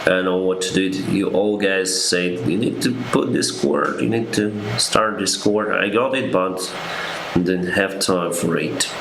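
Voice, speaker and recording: A deep, low-pitched young man takes questions from viewers as a pilot on a live stream. He speaks slowly and hesitantly, reading each question aloud before answering. The room sounds echoey, with a steady noise behind him. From Ukraine. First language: Russian. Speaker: airline pilot.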